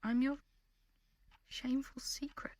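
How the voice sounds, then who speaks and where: Actress as young british rebel girl, highish voice, stubborn but loving, audio play, dry close sound. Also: level tone, a little slow, a little mellow, no echo, in a whisper. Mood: earnest, defiant. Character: defiant young woman rebel performer, teasing yet earnest lover. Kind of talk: intimate audio drama acting.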